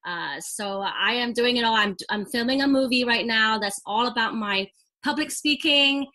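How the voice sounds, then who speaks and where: A woman's voice: on the high side, normal speed, pushed and bright, poor audio. A middle-aged woman from Hong Kong, raised in England, with a slight British accent, explaining things conversationally as an interview guest. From born in Hong Kong, raised in England. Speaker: guest entrepreneur and business coach.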